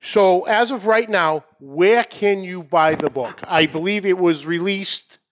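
Higher-pitched Boston man hosting a chat. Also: unhurried. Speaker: show host. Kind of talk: casual, enthusiastic show chat.

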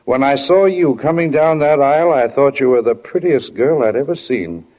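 A man's voice: medium pitch, lively intonation, normal speed, natural voice. A man playing a middle-aged small-town doctor and fond, teasing husband in a 1940s radio play, folksy and dryly humorous. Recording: muffled 1940s radio recording.

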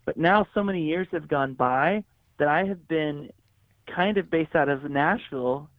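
A man's voice: on the high side, a little slow, no echo. A man who grew up in Florida, a songwriter and producer, chatting casually as an interview guest and rambling with many restarts and easy laughs. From Florida, USA. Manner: rambling, self-correcting, laughs easily. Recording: dry, phone-like line.

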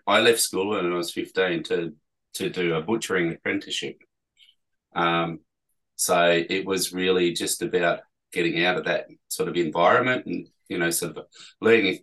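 Interview guest: middle-aged Australian man, low register, informal, feels his way through sentences in trailing phrases. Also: sharp tone, low-quality recording. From Perth, Western Australia. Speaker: interviewee, tour guide. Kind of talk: casual conversational interview.

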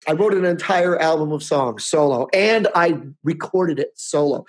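Rambling man in his fifties, higher voice. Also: normal speed. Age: 50s. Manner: rambling, restarts often, chuckles. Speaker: podcast co-host. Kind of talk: casual chat.

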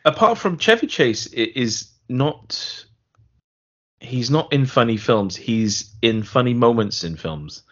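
A man co-hosting a podcast, chatting casually and reading out a listener email with a dry, deadpan delivery. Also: medium pitch, takes it slowly. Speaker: podcast co-host. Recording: dull lo-fi podcast audio.

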